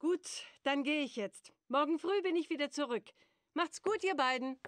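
A woman's voice: high voice, normal speed, fairly bright tone, no echo. A woman playing a stern, caring mother witch in a children's cartoon game, with a firm, instructive delivery. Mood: brisk, caring.

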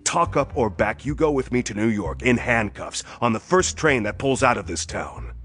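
An actor playing a tough, suspicious police detective, blunt and hard-boiled, in a pulp thriller audio drama. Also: male voice, medium pitch, animated, moderate pace, a bit bright, breathy edge. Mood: stern, accusing.

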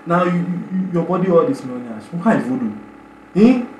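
A young man in his twenties with a Nigerian Pidgin accent, hosting an animated reaction vlog full of exclamations and stammered repeats. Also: on the high side, normal speed, roomy sound, a little hiss or hum, rough recording. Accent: Nigerian Pidgin English. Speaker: YouTube reaction host. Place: small bedroom indoors.

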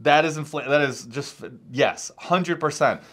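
A man's voice: a little high, a little slow. A man in his thirties co-hosting a casual chat, talking animatedly with many restarts, recorded on a lapel mic in a small gear-filled room. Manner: animated, talks with his hands, restarts a lot. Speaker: podcast co-host. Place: small room full of fishing gear, indoors.

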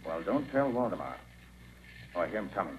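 An actor playing a shrewd, self-assured private detective in a 1940s radio drama, speaking crisply and with command. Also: male voice, deep voice, sing-song, a little fast. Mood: casual, probing. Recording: muffled, noisy 1940s radio recording.

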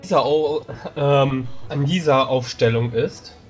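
A man's voice: mid-range voice, slow, soft background music. A man, a player in a tabletop strategy game, voicing objections hesitantly. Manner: hesitant, searching for words.

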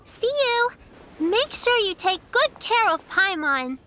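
Game child, very high, unhurried, chirpy and polite. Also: female voice, lively intonation, slight background noise, heavily acted. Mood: cheerful. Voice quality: high, bright and sweet. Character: cheerful little girl, sweet and eager. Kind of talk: video game voice acting.

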